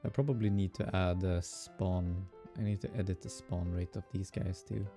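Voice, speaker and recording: A young man with a Swedish accent, streaming casual game development and musing aloud with fillers and soft laughs. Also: deep voice, flat delivery, moderate pace, soft background music. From Sweden. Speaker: game developer streaming his hobby project. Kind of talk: casual live-coding stream chatter.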